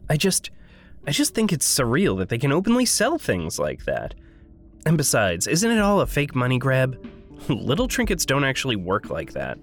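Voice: mid-range voice, huge pitch swings, normal speed, roomy sound, big-room sound, soft background music. An actor playing a tense, skeptical character in an audio drama, with dry, formal, anxious phrasing. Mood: wary, defensive. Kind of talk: audio drama dialogue and inner monologue.

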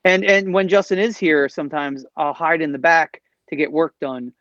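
A man's voice: a little high, steady tone, normal speed, fairly bright tone, no echo, muffled recording. A man in his late forties, a comic shop owner, chatting as a podcast guest with a wry, joking manner. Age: 40s. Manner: joking, wry, acts out customers. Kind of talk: casual interview chat.

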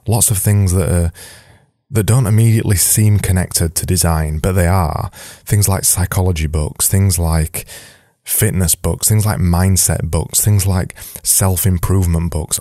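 A man's voice: low-pitched, warm tone, no echo, studio quality. A man with a Yorkshire accent giving informal solo advice as a podcast host, emphatic and repeating his key points. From Yorkshire, England.

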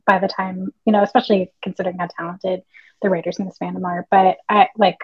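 A woman's voice: fairly low pitch, level tone, fast talker, slightly rough, no room sound, muffled recording. A woman co-hosting a casual fan discussion podcast, thinking aloud in long, analytical, wordy stretches. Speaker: podcast co-host.

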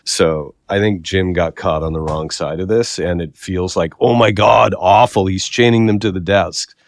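Canadian male co-host, low voice, wry and joking, dry close mic. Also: steady tone, moderate pace, no room sound. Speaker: podcast co-host. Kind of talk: casual panel chat.

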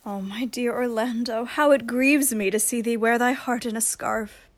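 A woman's voice: on the high side, steady tone, moderate pace, no room sound, lightly acted. A woman playing a witty young woman disguised as a youth in a Shakespearean radio play. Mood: playful teasing.

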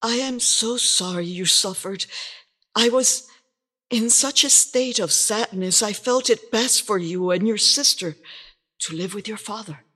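A woman voicing a sorrowful, loving mother who speaks softly and apologetically, close to a whisper. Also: mid-range voice, unhurried, husky. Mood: remorseful.

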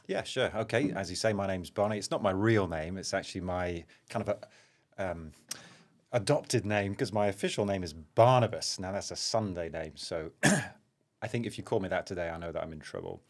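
A middle-aged man with a slight London accent, a surgeon guest telling stories of his childhood in a relaxed, self-deprecating way. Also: deep voice, a little fast. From Zaire and England. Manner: anecdotal, self-deprecating, with frequent ums. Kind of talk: relaxed conversational storytelling.

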